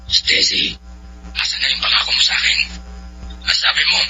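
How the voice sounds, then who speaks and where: A man's voice: normal speed, sharp tone. A man playing a grasping, money-hungry, wheedling henchman in a Filipino radio drama. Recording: muffled audio, faint noise.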